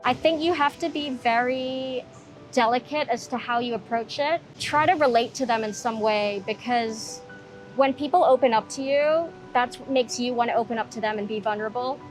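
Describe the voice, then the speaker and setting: A young woman from Hong Kong speaks in a soft, reflective manner, pausing often as she tells her personal story. Her voice sits a little high. Faint music plays quietly underneath. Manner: soft-spoken, reflective, frequent ums and pauses. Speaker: interviewee sharing her recovery story. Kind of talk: personal testimony. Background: soft background music.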